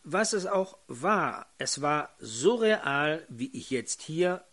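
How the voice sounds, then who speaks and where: An older man preaching a sermon in a hoarse voice, putting questions to his congregation. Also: a little high, sing-song, slow pace, fairly bright tone.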